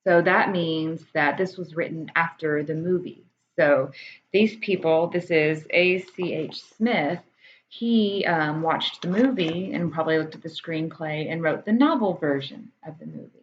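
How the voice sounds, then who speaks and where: A woman's voice: deep voice, a little slow. An American woman chatting informally to camera like a teacher presenting books, hesitating and thinking aloud as she explains. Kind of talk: casual explanatory chat to camera. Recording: dull muffled audio, background room noise.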